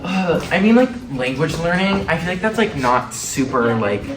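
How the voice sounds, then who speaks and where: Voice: medium pitch, sing-song, normal speed. A young American exchange student from New England, rambling with lots of fillers. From New Hampshire. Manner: rambling, hedging with many fillers. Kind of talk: casual chat. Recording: echoey room, distant mic, background music.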